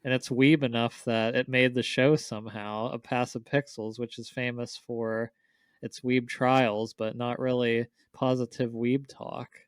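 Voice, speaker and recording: A man co-hosts a casual podcast in a smooth, clear voice. His intonation stays fairly flat, and the recording is very dry. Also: medium pitch, a little slow, dry recording. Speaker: podcast co-host.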